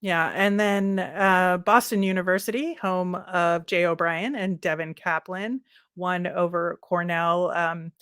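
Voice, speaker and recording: A woman with a slightly low voice hosts a casual sports podcast. She speaks conversationally and hedges with asides as she thinks through her points. The sound is very dry, with no room around it. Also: fairly even intonation, unhurried, a bit bright, smooth, no echo.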